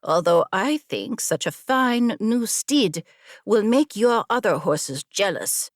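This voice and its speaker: A woman's voice: mid-range voice, expressive, slow pace, dry recording, studio quality. A woman narrator voicing a loyal, pestering young apprentice horsemaster in an audiobook, exasperated and wry. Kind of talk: audiobook character dialogue.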